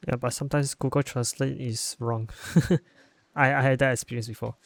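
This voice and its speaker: Gravelly young man, casual podcast. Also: mid-range voice, normal speed, no room sound. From Malaysia. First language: Chinese. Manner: relaxed, stumbles and restarts. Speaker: podcast co-host. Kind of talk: casual chat.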